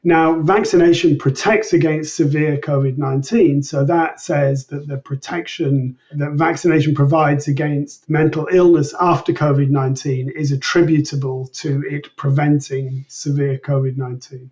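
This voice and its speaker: Guy researcher explaining study results step by step, mellow, bit high pitched, kinda muffled recording. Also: fairly even intonation. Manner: measured, methodical explaining. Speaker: research study author, interview guest. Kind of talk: explaining research findings in an interview. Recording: distant mic, dull lo-fi sound.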